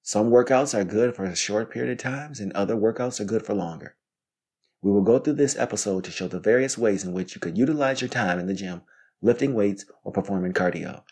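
A man in his thirties giving scripted, instructional solo podcast narration in a measured way, as if reading from notes. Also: on the low side, darkish tone. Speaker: podcast host.

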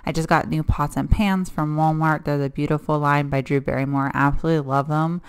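A woman with a low voice talks casually over her cleaning vlog, chatty and easygoing, dropping filler words as she goes. Her voice sounds echoey, as if in a roomy space. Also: steady tone, a little fast. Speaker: cleaning vlogger.

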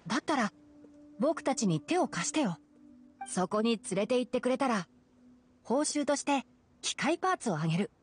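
A woman voicing a calm, clever young male forest ranger in a video game, explaining things in a measured, self-assured way. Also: fairly high pitch, over music. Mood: calm, analytical. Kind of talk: Japanese video game voice acting.

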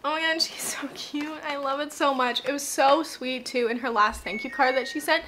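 Young woman, twenties, chatty beauty vlogger, gushing and casual, fairly high voice, home camera mic. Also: normal speed, sharp tone, slight background noise. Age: 20s. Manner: bubbly, gushing, frequent "like" fillers. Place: home, bathroom and living room.

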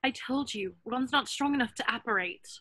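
A woman's voice: fairly high pitch, moderate pace, bright, forceful tone, dry recording. A woman reading the part of an anxious, bookish teenage witch in a script table read. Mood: worried. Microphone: video call.

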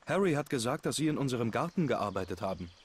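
Calm game hero. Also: male voice, mid-range voice, fast talker, slightly rough. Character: composed adult male protagonist, investigating. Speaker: game protagonist. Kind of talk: dubbed game dialogue.

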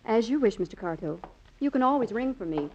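Muffled old-radio nurse. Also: female voice, fairly high pitch, expressive, fairly quick, background noise. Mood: polite, attentive. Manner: polite, solicitous. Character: attentive, courteous hospital nurse. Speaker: radio drama actress. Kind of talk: 1950s radio acting. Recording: muffled old 1950s radio recording, music behind.

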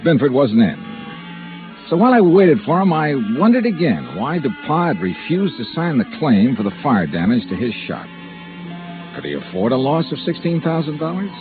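A male actor plays a wry, dogged insurance investigator from 1950s radio. His delivery is clipped and dry but highly varied in pitch. The sound is narrow and tinny, with music clearly in the mix. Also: lightly acted. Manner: clipped, dry, hard-boiled. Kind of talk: 1950s radio detective acting and narration. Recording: tinny band-limited old radio broadcast, music.

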